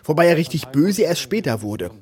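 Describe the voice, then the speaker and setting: A man hosting a TV critique show from a studio, presenting in a measured, analytical way. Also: fairly high pitch, very animated, darkish tone.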